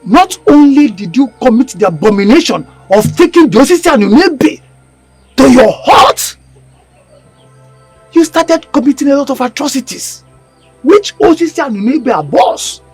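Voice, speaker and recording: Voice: medium pitch, wildly swooping pitch, extremely slow, long pauses, husky, heavily acted. An actor with a Nigerian accent playing a stern accuser who rebukes a king in a declamatory, scolding way in a melodramatic film. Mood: accusing. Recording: faint music behind dialogue.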